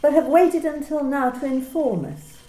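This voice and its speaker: A woman playing a coolly clinical office manager in an amateur radio play, asking personal questions in a calm, matter-of-fact, bureaucratic way. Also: high-pitched, normal speed, roomy sound, close to an everyday voice, low-quality recording. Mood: businesslike.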